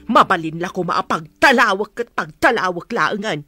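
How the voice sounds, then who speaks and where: A woman's voice: medium pitch, animated, normal speed, pushed and bright, breathy edge, faint music behind. A woman playing a concerned, insistent mother in a radio drama, speaking with an Ilocano accent. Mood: indignant. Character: concerned, insistent mother advising her married daughter.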